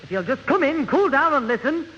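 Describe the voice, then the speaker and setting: Muffled old radio audio with reverb holds a no-nonsense woman. She is brisk and firm, with animated intonation suited to family sitcom. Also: high-pitched, a little hiss or hum. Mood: firm, scolding. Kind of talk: 1970s radio sitcom acting.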